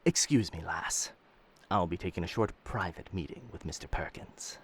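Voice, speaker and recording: A man's voice: low-pitched, moderate pace, slight background noise, natural voice. A man playing a roguish, commanding pirate captain in a roleplay audio drama, speaking in old seafaring diction. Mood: firm, concerned. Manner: old seafaring diction, addresses others as lass and sir.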